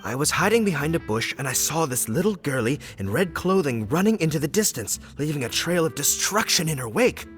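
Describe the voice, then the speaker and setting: A man's voice: on the high side, sing-song, fairly quick, few pauses, music in the background. A man voicing a dramatic, earnest bard in a video game, theatrical and boastful. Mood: awed.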